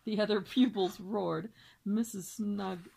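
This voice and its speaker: A woman teacher reading a children's novel aloud in a plain, unhurried way. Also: mid-range voice, steady tone, creaky, dark tone, breathy edge.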